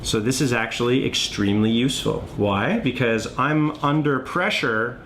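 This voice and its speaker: A man in his forties giving an informal board game tutorial, thinking aloud in a halting way, recorded in a home kitchen. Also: mid-range voice, a bit bright. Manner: thinks aloud, halting, often adds "okay?". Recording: noisy home camera audio with room ambience.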